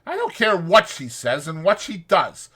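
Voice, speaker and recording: A man's voice: a little high, huge pitch swings, unhurried, pushed and bright, husky, pitched above the performer's own voice, exaggerated voice. A man voicing an irritable, protective ranch hand who scolds brusquely in rural American dialect for a dramatized audiobook. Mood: fierce scolding.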